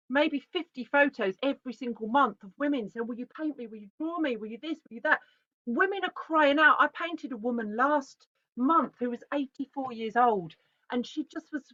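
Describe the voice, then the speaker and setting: A woman talks through a presentation in a chatty, animated, self-interrupting way. Her voice sits a little high. The sound is dry and dull, like lo-fi audio from a video call. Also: no room sound. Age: 40s. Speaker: artist giving a talk. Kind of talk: casual conference presentation.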